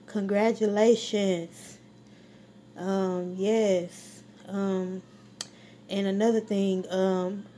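A woman's voice: mid-range voice, a little hiss or hum. A woman chatting casually in a home grocery haul vlog, rambling with many ums and filming on a handheld phone. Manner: rambling, full of ums, warm shout-outs. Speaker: vlogger. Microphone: phone camera held while filming.

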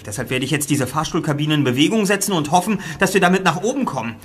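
An actor playing a sharp-minded young detective who reasons calmly and often drops to a hushed voice, in a radio play. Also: male voice, a little high, quick pace, breathy edge. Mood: decisive.

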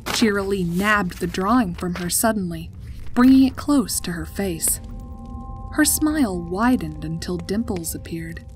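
A woman's voice: fairly low pitch, lively intonation, a little slow. A woman reading a scripted story as its narrator. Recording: music behind the voice. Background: background music.

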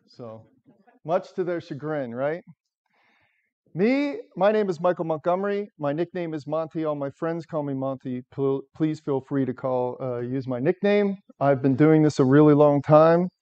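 Loud middle-aged man lecturing a room. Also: a little high, normal speed, clean tone, dull audio. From United States. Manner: loud, engaging, asks the room questions. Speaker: software architect giving a talk. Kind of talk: conference talk to a live audience. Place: lecture room with seated audience. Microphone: far camera, no microphone.

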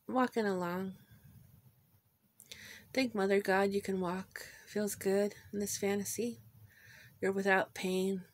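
Woman, medium pitch, slow pace, gentle guided meditation, short phrases with pauses, informal wording. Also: dry recording. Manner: gentle, unhurried, pausing between short phrases. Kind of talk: guided meditation, visualization narration.

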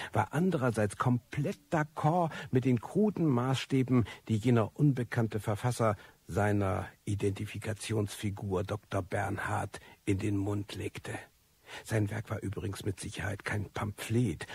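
A reclusive, disillusioned narrator in a radio play. His voice is low and very husky, with gravel in it. He tells his story in a brooding, ironic manner. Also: darkish tone, exaggerated voice. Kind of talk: scripted first-person radio play narration.

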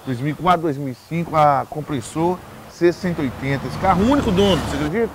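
Um homem de meia-idade com sotaque mineiro, dono de loja de carros, anunciando preços ao ar livre com calma. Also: male voice, fairly high pitch, fast, noisy background. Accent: Brazilian Portuguese, Minas Gerais. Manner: relaxed, plain listing of prices. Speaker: car dealer. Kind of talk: sales pitch. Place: outdoors at car lot.

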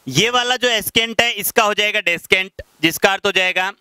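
Man, thirties, Rajasthani accent, high clear voice, dry close headset sound, classroom teacher slowing down for English examples. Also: sharp tone, no room sound. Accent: Rajasthani Hindi. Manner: explains patiently, slows down to read English example sentences aloud. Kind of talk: classroom lecture explaining English vocabulary in Hindi. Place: classroom in front of a whiteboard. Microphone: headset mic.

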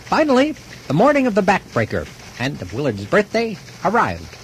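An actor playing an earnest documentary narrator in a radio drama, telling the story deliberately. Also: male voice, sits high, huge pitch swings, a little hiss or hum. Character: earnest documentary narrator reconstructing a man's fate.

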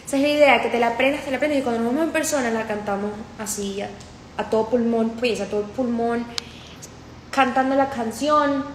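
Paisa woman, 20s, livestream host, slightly high voice, repeats herself, roomy phone sound. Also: fairly quick, a little hiss or hum. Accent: Colombian paisa. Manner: chatty, repetitive, mixes in English. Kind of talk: casual livestream chat, reading comments aloud. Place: room indoors. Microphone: phone held close.

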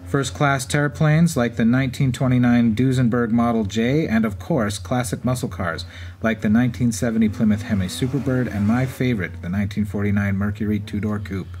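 A man hosts with scripted narration at a middle pitch. Faint racetrack event ambience runs behind his voice. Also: fairly quick, darkish tone. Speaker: podcast host. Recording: clear background ambience noise.

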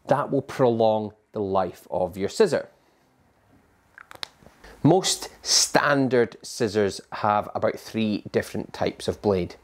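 A man's voice: fairly low pitch, darkish tone. A man in his forties, a barber explaining scissors to camera in a barbershop, in a patient, practical teaching manner. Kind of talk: instructional talk to camera. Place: barbershop interior.

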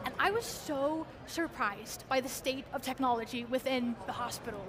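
A woman's voice: sits high, moderate pace, a crowd in the background. A young woman from Iceland with an Icelandic accent, speaking as an interview guest at a convention booth, eager and articulate. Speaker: guest, research engineer. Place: large convention hall booth, indoors.

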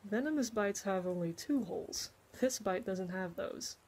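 A woman playing a knowledgeable healer cat in an animated comic dub, calm, measured and matter-of-fact. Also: medium pitch, close to an everyday voice. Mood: calm, careful. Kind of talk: comic dub character acting.

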